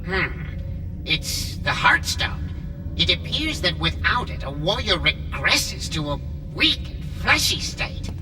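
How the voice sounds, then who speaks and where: Slow, sly skeleton advisor. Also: male voice, a little high, very animated, distant mic, over loud music, rough recording. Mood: sly, pointed. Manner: deliberate, condescending. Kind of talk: cartoon villain acting.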